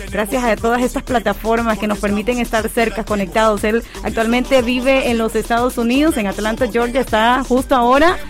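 Female radio host, somewhat high pitch, polished formal interviewer, light music under. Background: faint background music.

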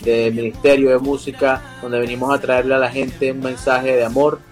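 Young Venezuelan male singer, remote guest, hesitant and filler-heavy, soft music under him. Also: mid-range voice, flat delivery, normal speed. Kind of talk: interview over a video call. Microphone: video call.

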